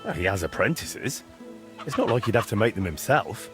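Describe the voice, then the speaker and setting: A man's voice: fairly low pitch, sing-song, a little fast, over music. A man playing an earnest, polite young medieval master blacksmith in a video game. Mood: mildly puzzled. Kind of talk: naturalistic game dialogue.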